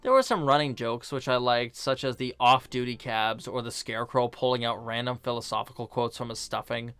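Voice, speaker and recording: Male film review host: medium-pitched, casual scripted narration, dry asides, soft music bed. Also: fairly quick, clean tone, dry recording.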